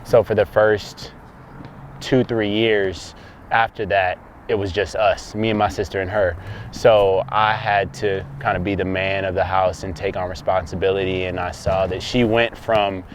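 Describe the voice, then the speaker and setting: A man's voice: on the low side, a little hiss or hum. A man of thirty from Texas, a guest talking reflectively in a casual conversation, often restarting his sentences. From Dallas, Texas. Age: 30s.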